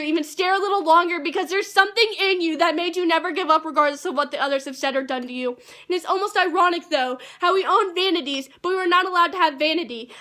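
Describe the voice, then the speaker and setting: A high, youthful female voice, a girl in her teens, performs slam poetry at a rapid pace. She delivers it like a rehearsed piece, earnest and emphatic, as an amateur poet would. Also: fairly bright tone.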